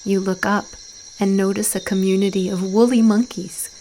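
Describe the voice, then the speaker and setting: A woman narrating a guided visualization in a soft, soothing, deliberate voice with breathy phrase endings and long pauses. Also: mid-range voice, level tone, slight background noise, rough recording.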